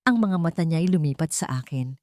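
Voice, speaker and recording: Filipina lady reading a dramatic audiobook story, low voice, really dry recording. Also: lively intonation, brisk, a little mellow, no echo. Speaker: audiobook narrator. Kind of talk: scripted dramatic first-person story narration.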